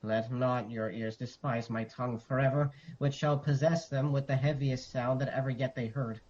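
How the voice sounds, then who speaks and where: A man playing a sorrowful noble messenger in a Shakespearean radio drama, delivering solemn, measured verse. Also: mid-range voice, fairly even intonation, darkish tone, lightly acted. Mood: heavy, reluctant. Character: Ross, sorrowful noble messenger bearing dreadful news. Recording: slightly dull, muffled audio.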